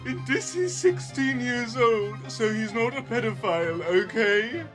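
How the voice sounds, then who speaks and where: A woman voicing a whiny male villain henchman who is lovesick, with a drawn-out wailing delivery. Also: fairly high pitch, lively intonation, over music, pitched above the performer's own voice. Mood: pleading.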